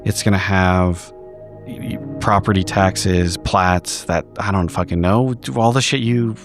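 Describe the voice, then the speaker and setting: A man playing a tabletop roleplaying game, improvising casual in-character talk in a halting, sweary way. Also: low-pitched, steady tone, music in the background.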